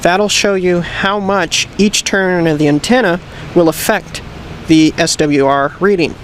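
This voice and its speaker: A man's voice: high-pitched, measured pace, poor audio. A man giving a hands-on tutorial, explaining things step by step in a plain, methodical way. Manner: plain, methodical instructional delivery. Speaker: presenter of a how-to video. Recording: clear background noise, vehicle ambience.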